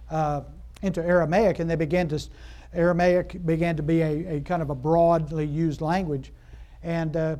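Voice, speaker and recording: A man delivering a sermon in a rambling, chatty way, often restarting his sentences and stopping to ask his listeners questions. His voice sits high for a man, and he builds to excited, exclaiming stretches. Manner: rambling, conversational, frequent restarts and fillers. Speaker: pastor.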